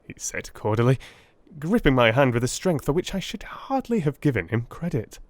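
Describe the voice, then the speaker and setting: A man narrating a classic story in the first person, with theatrical, measured, literary storytelling. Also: mid-range voice, sing-song, no echo. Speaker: audiobook narrator.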